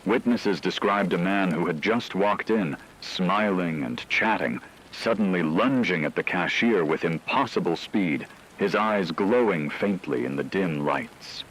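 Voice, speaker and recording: Male narrator, deep register, grave and measured horror radio chronicle, light rainy static underneath. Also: a bit bright, poor audio.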